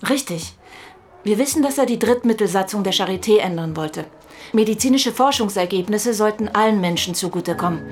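An actress playing a brisk, commanding chief police inspector in a crime radio drama, matter-of-fact and decisive. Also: female voice, mid-range voice, close to an everyday voice.

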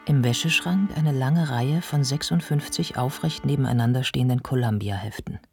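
An actor playing a sober male narrator in a radio drama, reading scripted narration in a measured way. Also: medium pitch, a little mellow, soft background music, close to an everyday voice.

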